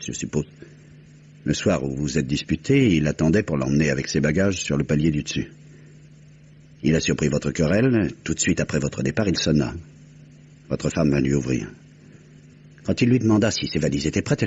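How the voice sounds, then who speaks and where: A composed detective with a low voice and a methodical, articulate way of explaining, in vintage French radio drama style. The recording is slightly dull with faint noise. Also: male voice, expressive, moderate pace, a little mellow. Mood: methodical storytelling. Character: composed, methodical detective recounting a solved murder. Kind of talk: old French radio crime drama acting.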